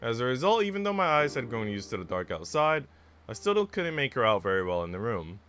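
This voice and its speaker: A man livestreaming a visual novel, moving between casual, chatty musing and expressive story narration. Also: mid-range voice, a little fast. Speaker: streamer reading a visual novel aloud. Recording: music playing behind the voice. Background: background music.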